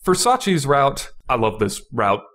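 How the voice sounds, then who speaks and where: A man's voice: medium pitch, very animated, measured pace, a bit bright. A man giving a casual, unscripted podcast review, rambling informally with lots of "you know" and repeating points for emphasis.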